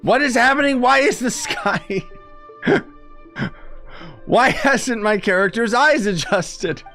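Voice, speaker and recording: A man's voice: very high voice, huge pitch swings, slow, pushed and bright, soft background music. A man giving casual playthrough commentary as a streamer, with wry, sarcastic asides. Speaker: gameplay streamer.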